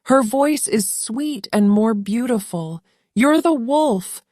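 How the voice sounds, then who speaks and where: A woman's voice: a little high, animated, takes it slowly, a little mellow, no room sound, clean studio sound, pitched above the performer's own voice. A woman voicing the little goat children, eager and defiant. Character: little goat kids, bold and confident.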